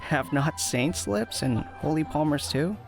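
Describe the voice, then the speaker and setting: A lovestruck young nobleman, played by a man, speaks verse slowly with a creaky edge to his voice. He sounds tender and romantic, with music underneath, in a Shakespeare audio play. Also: on the high side, lively intonation. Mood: tender wooing. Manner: tender, measured verse delivery.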